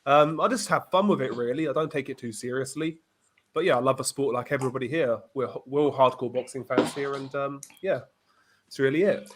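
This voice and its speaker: A man with a middle-pitched, congested voice from a cold chats as a guest on a boxing stream. He talks about fights in a casual, dry, self-mocking way. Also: brisk, noisy background. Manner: dry, self-deprecating humor. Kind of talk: casual live-stream chat.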